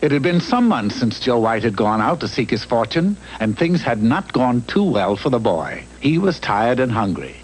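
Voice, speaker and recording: Man as a wry, animated storybook narrator. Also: medium pitch, moderate pace, few pauses, lightly acted, rough recording. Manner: wry, theatrical. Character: wry, grand fairy-tale storyteller. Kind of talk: mock storybook cartoon narration. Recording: hissy old TV cartoon soundtrack.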